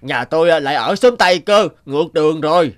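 Give this cartoon folk tale its lazy young woodcutter, played by a man. He speaks in a raised male pitch. He grumbles and dismisses whatever is asked of him. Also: animated, a little fast, close to an everyday voice. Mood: grumbling. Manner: complaining, dismissive.

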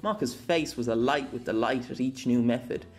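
A man reading scripted fiction as an amateur audiobook narrator, expressive and dramatic. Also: medium pitch, moderate pace, soft background music.

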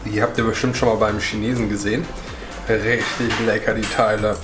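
A man's voice: lowish voice, level tone, fairly quick, over loud music. A man in his thirties chatting and joking in a casual travel vlog, filming himself at arm's length outdoors. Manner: chatty, joking, addresses viewers directly. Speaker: travel vlogger. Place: outdoors in a city park and on a pedal boat. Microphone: handheld camera at arm's length. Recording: loud outdoor city noise.

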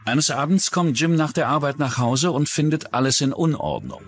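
A man's voice: a little high, very animated, moderate pace, slight background noise. A man delivering scripted true-crime documentary narration as the narrator.

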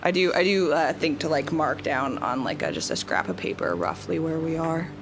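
A woman's voice: low voice, expressive, moderate pace. A woman chatting casually and improvising as a player in a tabletop game, with music in the background.

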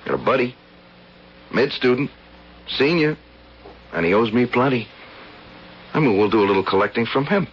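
An American actor playing a callous, slangy young hipster boyfriend in a 1970s radio drama. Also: male voice, medium pitch, sing-song, moderate pace, long pauses, natural voice. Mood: slick, cajoling. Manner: slangy, brusque, dismissive. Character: callous, cocky young hipster boyfriend, a small-time heel. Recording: dull, lightly hissy vintage radio recording.

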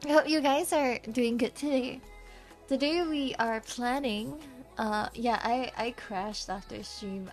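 A tired young woman streamer with a slightly scratchy voice, chatting casually while she draws, soft and halting, stammering and giggling often. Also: fairly high pitch. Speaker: VTuber streamer. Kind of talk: casual livestream chat while drawing.

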